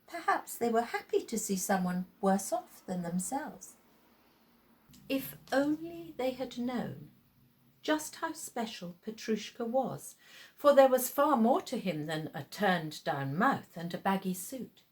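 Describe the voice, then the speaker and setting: A woman storyteller with a fairly high, very animated voice narrates a storybook tale. She takes her time, shaping phrases dramatically with deliberate pauses, over music.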